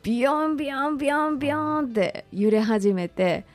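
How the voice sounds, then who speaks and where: A woman's voice: high-pitched, animated, moderate pace, dry recording, faint music behind. A woman talking conversationally as a radio guest, politely and expressively sharing personal recollections.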